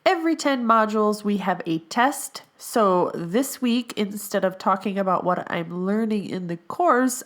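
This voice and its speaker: A young woman hosts a solo podcast in a relaxed, chatty way, often stopping on "um" and "like." Her voice is a little nasal and stuffy from seasonal allergies, and her pitch sits in the middle. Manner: chatty, with frequent fillers. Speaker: podcast host, health coach in training. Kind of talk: casual solo podcast monologue.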